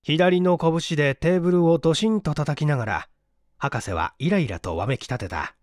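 A man's voice: a little high, moderate pace, a little mellow, dry recording, clean studio sound. A man reading a mystery story aloud as scripted, dramatic narration. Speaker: audiobook narrator.

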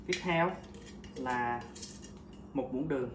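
A man's voice: medium pitch, slow, recorded from a distance. A man in his thirties from Vietnam, casually walking viewers through a homemade recipe in his kitchen, chatty with frequent hesitations. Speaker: home cooking vlogger. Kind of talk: casual how-to demonstration. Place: home kitchen, indoors. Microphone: camera mic on counter. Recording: roomy kitchen, voice off-mic, loud background noise.

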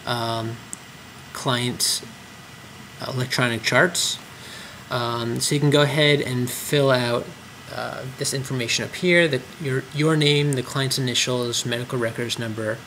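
Male trainer, mid-pitched voice, relaxed explanatory screen tutorial, faint hum. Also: poor audio. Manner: explanatory, frequent ums and uhs. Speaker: clinic trainer. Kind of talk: screen-recorded software tutorial.

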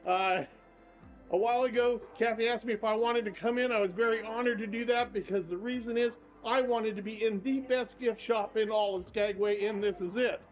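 A man's voice: high voice, steady tone, moderate pace. An older man giving a casual walking tour of shops, chatty and upbeat, recorded on a handheld camera while he walks. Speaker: travel vlogger and tour guide. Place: shops and streets of a small town, indoors and out. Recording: slightly dull sound, faint music behind.

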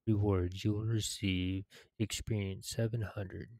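A man in his thirties reads game text aloud with halting phrasing. His voice is low and gravelly, and he takes it very slowly. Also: little pitch movement, darkish tone, no room sound. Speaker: gamer reading quest text aloud. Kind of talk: read-aloud narration of game quest text.

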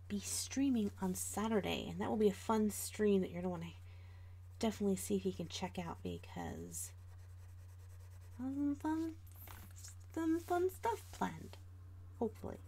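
Female livestream host, about 40, American. Casual, chatty delivery direct to viewers, noticeably creaky tone. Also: mid-range voice, expressive, normal speed, long pauses, dark tone. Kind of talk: casual livestream chat while drawing.

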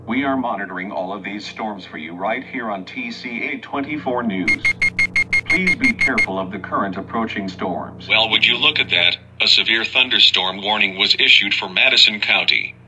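A man's voice: fairly low pitch, moderate pace. A man anchoring storm coverage in the style of a TV weather news broadcast. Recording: dull, noisy lo-fi recording.

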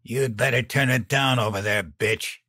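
A man voicing a violent, sneering drunk smoker with a raspy croak and coarse, slangy speech, in an audiobook. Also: mid-range voice, expressive, unhurried, sharp tone, no room sound, clean studio sound, pitched above the performer's own voice, full character voice. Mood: menacing.

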